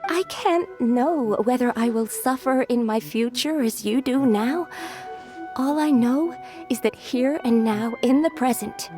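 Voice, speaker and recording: A determined young swordswoman from a game, voiced by a woman, in a fairly high, earnest, resolute voice. Soft game music plays under her. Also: close to an everyday voice. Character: devoted young female disciple, determined. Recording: game soundtrack behind.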